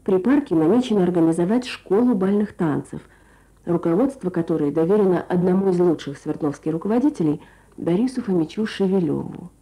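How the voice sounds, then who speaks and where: Woman radio presenter, very mellow tone. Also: lowish voice, fairly quick, rough recording. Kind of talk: scripted Soviet radio narration.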